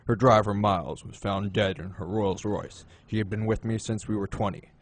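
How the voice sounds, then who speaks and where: Man playing a low, suave gentleman gangster. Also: fairly even intonation, natural voice. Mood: smug, wry. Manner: unhurried, courtly, condescending. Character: suave, menacing gentleman crime boss. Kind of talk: crime audio drama acting.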